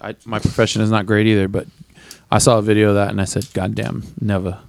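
A man's voice: lowish voice, little pitch movement, fast talker, gravelly. A man co-hosting a podcast, teasing the others with crude puns in casual chat. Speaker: podcast co-host.

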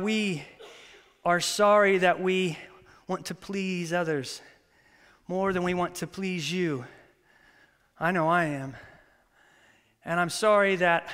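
A man in his thirties, a pastor, preaching a sermon with prayer and lively stories that he acts out. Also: high voice, clean tone. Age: 30s. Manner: animated, storytelling with acted-out quotes. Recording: long reverb of a church hall.

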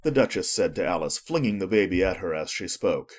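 Animated, husky male storybook narrator. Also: low voice, fairly quick. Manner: expressive storytelling.

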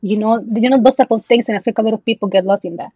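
A woman's voice: on the high side, racing, husky, dry recording. Woman in her 20s from el salvador, chatty podcast guest, ums a lot, drops spanish words, muffled dry audio. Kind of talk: casual podcast chat.

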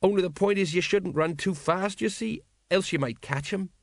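A man's voice: a little high, fairly quick, no room sound, clean studio sound, voice pushed up, lightly acted. A man voicing a wistful, miserable older watch sergeant who rambles through his memories in an audiobook. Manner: miserable, rambling working-class storyteller. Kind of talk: audiobook character dialogue.

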